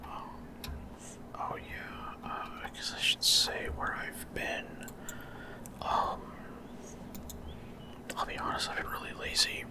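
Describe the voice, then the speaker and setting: A man whispering casual, rambling gameplay commentary for ASMR in a breathy, unvoiced whisper, often hesitant as he searches for words. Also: slow, long pauses. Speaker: gaming ASMR creator.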